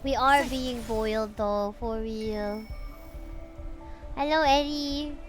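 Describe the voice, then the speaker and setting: A woman's voice: a little high, takes it slowly, soft background music. A young woman with a Filipino English accent chatting casually with viewers while playing a game, greeting chat and swearing playfully. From Philippines. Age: young adult. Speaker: streamer.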